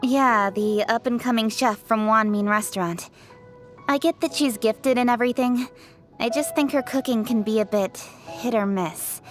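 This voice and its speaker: A woman's voice: on the high side, normal speed, faint music behind, natural voice. A woman voicing a confident, opinionated young official in a fantasy video game, articulate and frank. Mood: matter-of-fact. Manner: articulate, self-assured, frank. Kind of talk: scripted video game character voice lines.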